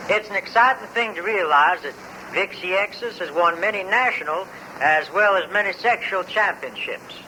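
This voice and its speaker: A man's voice: high voice, moderate pace, sharp tone. A man giving a sports report as a radio sportscaster in a vintage style. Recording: faintly noisy old radio broadcast.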